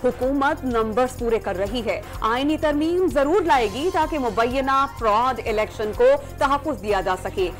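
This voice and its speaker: A woman with a Pakistani Urdu accent reading scripted TV news headlines in a crisp, formal newsreader manner. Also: high-pitched, animated, normal speed, few pauses. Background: news music bed.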